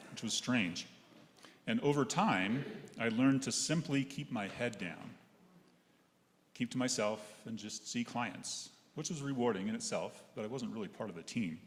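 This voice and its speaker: A middle-aged man giving a wry, hesitant personal reflection to a congregation. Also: fairly low pitch. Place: large echoey indoor church space, seated. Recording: echoey hall with noticeable background noise.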